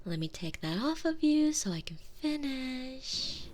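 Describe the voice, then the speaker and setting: A woman's voice: high voice, sing-song, unhurried, a little mellow, slight background noise. A woman playing a sweetly doting but obsessive, volatile girlfriend in an intimate, close-miked ASMR roleplay. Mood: cooing, sweet. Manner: intimate, cooing, often dropping into breathy whispers. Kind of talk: scripted ASMR roleplay acting. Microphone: close binaural microphone.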